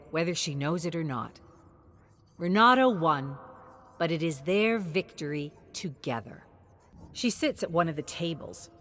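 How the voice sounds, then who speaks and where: A woman reading scripted storybook narration in a measured, expressive storytelling manner. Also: on the low side. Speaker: narrator of character lore.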